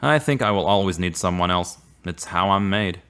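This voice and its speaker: An actor as an earnest, low-voiced man. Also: lively intonation, moderate pace, fairly bright tone, clean tone. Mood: earnest, wistful. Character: earnest, devout man saddened by a fading romance. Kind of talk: radio drama dialogue.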